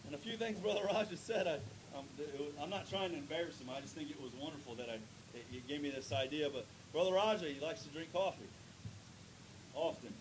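Preacher with a higher voice, rumbly noisy recording. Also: male voice. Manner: builds to loud emphatic preaching with drawn-out stresses. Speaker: pastor. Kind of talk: sermon with prayer and scripture reading. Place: church sanctuary.

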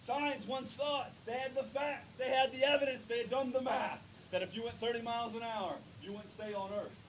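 A man preaching a sermon in church, reading scripture, praying and building to loud emphatic points with drawn-out words. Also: steady tone. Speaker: pastor. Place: church sanctuary. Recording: noisy with low rumble.

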